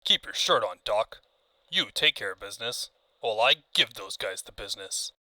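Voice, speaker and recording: Man doing a sharp, brash cartoon henchman. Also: on the low side, animated, moderate pace, no room sound, pitched below the performer's own voice. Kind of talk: comic book fan dub.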